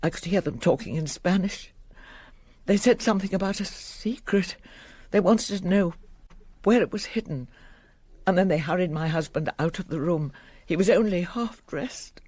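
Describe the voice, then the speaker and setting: A woman's voice: fairly low pitch, moderate pace, a little mellow, dry recording, close to an everyday voice. An actress playing a dignified, shaken middle-aged wealthy woman, newly widowed, in a radio drama. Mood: shaken, recounting.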